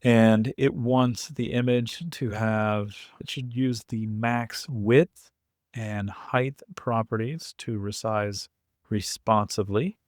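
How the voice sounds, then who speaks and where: A man's voice: lowish voice, fairly even intonation, slow pace, no room sound, studio quality. A man teaching in an informal step-by-step screen tutorial, thinking aloud as he works. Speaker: coding tutorial instructor.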